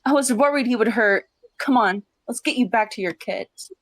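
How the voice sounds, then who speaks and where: A woman voicing a caring female clan leader cat, talking gently. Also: a little high, normal speed, dry recording. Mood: relieved.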